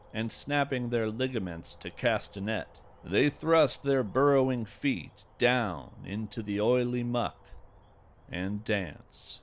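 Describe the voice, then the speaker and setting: A man playing a gentle, folksy naturalist who hosts a scripted field podcast with earnest, lyrical wonder. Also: mid-range voice. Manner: deliberate, lyrical, earnest delivery. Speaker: podcast host and narrator. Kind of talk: scripted narration with poetry reading.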